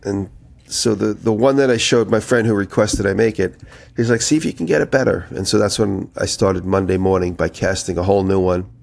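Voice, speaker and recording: A man with a low, creaky voice co-hosts a casual podcast. He sounds a little nasal from a head cold. His talk is conversational and wanders through anecdotes with a gravelly edge. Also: a little fast, hardly any pauses, darkish tone. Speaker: podcast co-host. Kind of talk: casual chat, rambling anecdotes.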